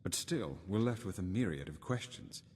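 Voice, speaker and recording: Low-voiced, earnest, self-doubting scholar. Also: male voice, animated, normal speed, darkish tone, big-room sound, slight background noise. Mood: earnest, pensive. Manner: earnest, reflective, articulate. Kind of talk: video game character dialogue.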